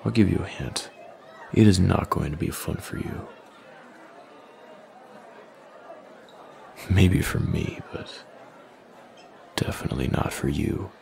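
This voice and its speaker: A man playing a smug, menacing young male bully in an intimate audio roleplay, taunting with slow, deliberate threats in a deep, gravelly voice. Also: lively intonation, darkish tone, a faint crowd, slight background noise, heavily acted. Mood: mocking menace. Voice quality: deep, gravelly, breathy at line ends.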